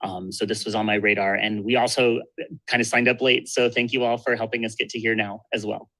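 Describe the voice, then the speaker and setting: Bright-voiced planetarium director, brisk. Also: male voice, lowish voice, level tone, low-quality recording.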